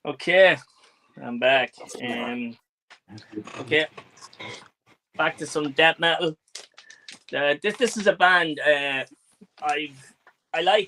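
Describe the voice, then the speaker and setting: A man's voice: a little high, bright, forceful tone, slight background noise, rough recording. A man in his forties chatting bluntly on a home video call about metal albums, swearing freely. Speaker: host. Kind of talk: casual chat. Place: home room with shelves of films. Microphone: webcam video call.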